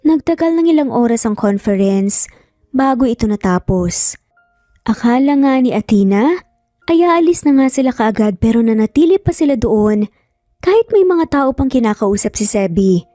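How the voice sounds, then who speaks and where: A woman's voice: on the high side, animated, normal speed, warm tone, no echo, clean studio sound. A woman with a Filipino accent reading a scripted romance story as its narrator. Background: background music.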